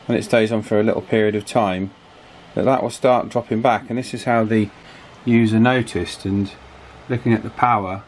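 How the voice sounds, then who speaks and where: A man's voice: fairly low pitch, steady tone, normal speed. A man giving a casual hands-on repair demonstration, thinking aloud with some hesitation. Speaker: electronics repair hobbyist presenter. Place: small indoor office workshop. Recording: clear background noise, wind from fan. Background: table fan wind noise.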